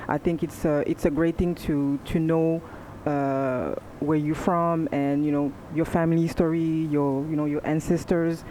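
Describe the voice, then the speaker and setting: A woman from France speaking on a panel in a measured, hesitant way with frequent pauses. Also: deep voice, little pitch movement, dark tone, slight background noise, low-quality recording. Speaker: panel guest. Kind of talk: casual panel chat.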